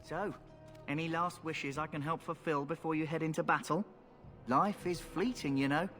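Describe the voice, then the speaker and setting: A man's voice: fairly high pitch, fairly even intonation, moderate pace, a bit bright, faint music behind, lightly acted. A man playing a cheeky, flirtatious rogue recruit in a fantasy video game, talking in a wry, colloquial way. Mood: roguish, wry. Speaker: game character. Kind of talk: scripted fantasy game acting.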